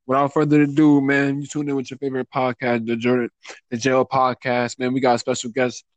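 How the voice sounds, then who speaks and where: Fast-talking man hosting a podcast. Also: mid-range voice, little pitch movement, no echo. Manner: informal, repeats stock phrases. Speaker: podcast host, interviewer. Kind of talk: casual podcast interviewing.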